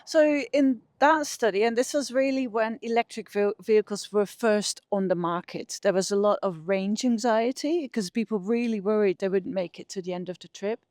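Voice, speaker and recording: A woman's voice: mid-range voice, normal speed, hardly any pauses, a little mellow, dry recording, studio quality. A middle-aged woman with a Dutch accent, explaining her research conversationally as an interview guest. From Netherlands. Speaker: interview guest, behavioural scientist.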